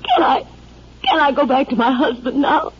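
An actress playing a fragile, grieving newlywed widow, tearful, in a 1950s radio drama on an old broadcast recording. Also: female voice, high-pitched, big pitch swings, normal speed, muffled recording. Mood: grief-stricken.

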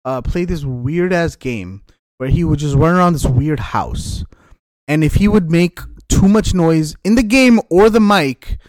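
Enthusiastic male co-host, slightly high voice, dry close sound, loose slangy banter. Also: measured pace, dry recording. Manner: animated, enthusiastic. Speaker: podcast co-host. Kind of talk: casual banter with slang and swearing.

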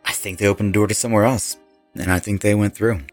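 A man's voice: on the low side, fairly even intonation, fast talker, no room sound, soft background music, lightly acted. A man playing a laid-back rock musician with an interest in the occult in an audio horror drama. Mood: intrigued.

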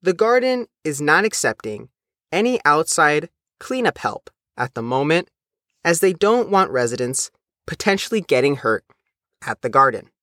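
Male news reporter for scripted narration, animated intonation, very dry close recording. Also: on the high side, moderate pace, dry recording.

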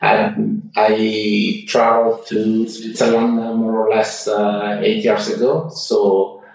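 A man's voice: fairly low pitch, completely flat delivery, takes it slowly, gravelly, darkish tone, heavy reverb, distant mic, poor audio. A man with an Italian accent speaking English as a second language, answering interview questions hesitantly with many fillers and restarts. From Italy. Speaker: guest. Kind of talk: casual interview. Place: home in Switzerland.

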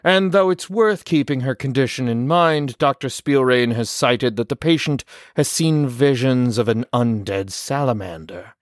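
A man's voice: mid-range voice, measured pace, no room sound, studio quality, natural voice. A man playing a wry doctor in an audio drama, dictating case notes in a formal, sardonic, wordy way. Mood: dry, wry. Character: dry, wry doctor reporting on patients.

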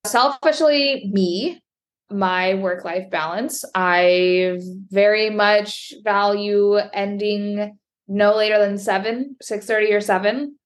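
A woman therapist co-hosting a podcast in casual chat, talking in a frank and upbeat way. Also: mid-range voice. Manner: frank, upbeat, quick to agree.

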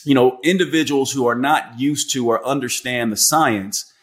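A man's voice: mid-range voice, moderate pace. A man hosting a podcast, a clinician asking long, winding, earnest questions with restarts. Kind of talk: interview questions.

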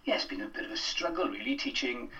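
A man's voice: mid-range voice, lively intonation, quick pace, bright, forceful tone, far from the mic, highs cut off. A middle-aged Welsh man, a drama lecturer, chatting as a podcast guest with many fillers and restarts. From Wales. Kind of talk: casual podcast interview.

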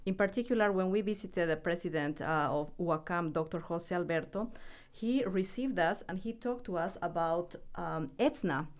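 A woman from Argentina and Bolivia, a native Spanish speaker, talking casually as an academic on a podcast. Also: lowish voice.

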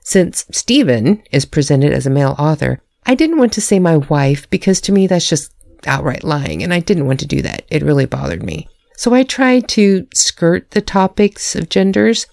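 A woman's voice: low-pitched, expressive, moderate pace, vocal fry, a little mellow, no echo, clean studio sound. A woman author reading a candid, self-deprecating personal talk on her own podcast, laughing at herself now and then. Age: adult, married.

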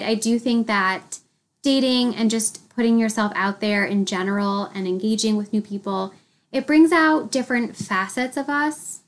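A woman's voice: mid-range voice, a bit bright. A woman hosting a solo podcast, slightly congested, speaking in a reflective, candid way with light laughs. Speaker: podcast host.

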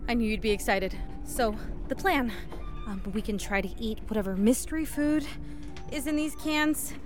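A woman's voice: on the high side, normal speed, music in the background, close to an everyday voice. A woman acting in an audio drama as a resourceful, reassuring hiker who rambles and swears under pressure. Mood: hopeful. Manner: naturalistic, hesitant rambling.

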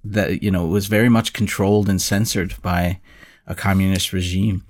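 Lower-voiced male interviewer. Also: fairly even intonation, moderate pace. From the West. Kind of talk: conversational interview.

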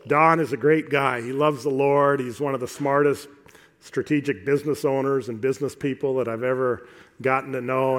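A middle-aged man preaching a sermon to a congregation in an earnest, emphatic way, repeating phrases deliberately for effect. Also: medium pitch, fairly bright tone.

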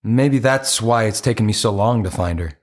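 A man's voice: fairly low pitch, normal speed, lightly acted. An actor playing an earnest, apologetic romantic man in an audio play, with a hesitant, conversational delivery. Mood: earnest, hopeful.

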